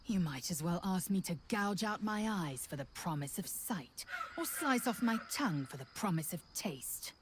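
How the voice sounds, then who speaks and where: Woman as proud, low-voiced alien warrior. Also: expressive, moderate pace, background noise, natural voice. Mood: stern warning. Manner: haughty, formal, clipped and severe. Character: proud, fierce, disdainful Githyanki warrior woman. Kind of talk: dramatic fantasy video game acting.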